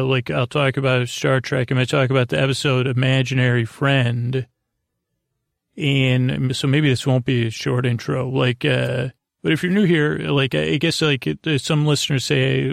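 A man hosting a bedtime podcast in a creaky, lulling voice, rambling haltingly and trailing off into long-winded tangents. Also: medium pitch, dry recording, studio quality. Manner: lulling, soothing delivery. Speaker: podcast host.

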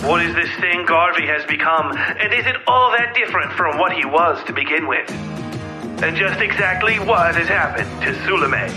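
A man's voice: fairly high pitch, lively intonation, normal speed, music in the background, poor audio. A man announcing the next episode of a horror serial in a dramatic, theatrical, scripted style. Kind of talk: old-time serial teaser announcing.

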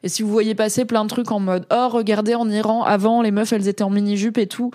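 A woman's voice: medium pitch, fairly even intonation, fast, warm tone, no room sound, clean studio sound. A woman from France giving casual, slangy commentary as a streamer reading chat, talking in a rambling way with frequent hesitations.

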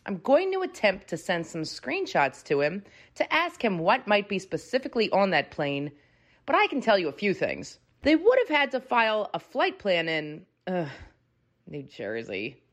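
Woman, medium pitch with animated range, for expressive dramatic readings of casual emails. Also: normal speed. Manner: expressive, performed. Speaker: guest reader of a listener email.

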